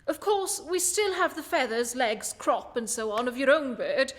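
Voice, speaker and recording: A shrewd British gentleman detective voiced by a woman, high and very lively in pitch. He speaks with polite precision and confidence in a radio play, with a long reverb around the voice. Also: moderate pace. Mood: smoothly polite. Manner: polite, precise, self-assured.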